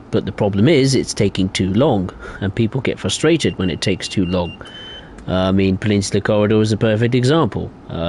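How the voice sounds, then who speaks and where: Rambling young man, low voice. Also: a little mellow, a little hiss or hum. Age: young adult. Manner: rambling, hesitant, opinionated. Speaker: streamer. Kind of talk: casual live-stream chat.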